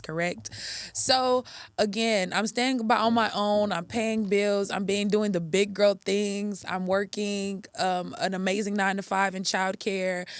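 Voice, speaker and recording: A woman's voice: mid-range voice, clear, resonant tone, no echo. Upbeat chatty young woman podcaster. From Texas, USA. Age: 20s. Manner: upbeat and chatty, with frequent ums and restarts. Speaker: podcast host. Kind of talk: casual solo podcast monologue.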